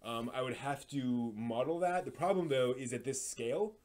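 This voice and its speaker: A man hosting a casual live stream, explaining hobby projects in a halting, thinking-aloud way. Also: medium pitch, darkish tone.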